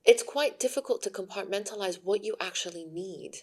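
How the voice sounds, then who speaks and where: A woman's voice: mid-range voice, a little fast, darkish tone, slightly rough. A woman hosting a podcast interview, speaking supportively and hesitantly with many fillers. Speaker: podcast host. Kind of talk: conversational interview.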